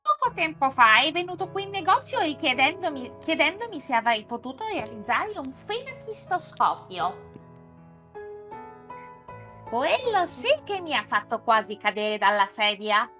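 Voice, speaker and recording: A very high-pitched, animated shopkeeper played by a woman from a visual novel, polite and earnest. The delivery is bright and kindly, with faint music underneath. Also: unhurried, heavily acted. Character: polite, earnest shop owner, kindly pleading with a customer. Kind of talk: live visual novel reading.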